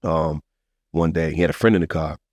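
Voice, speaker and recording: A man's voice: rumbling low, steady tone, brisk, a little mellow, no room sound, clean studio sound. A man talking casually as a podcast host, earnest and emphatic, with slangy phrasing and repeated, circling phrases.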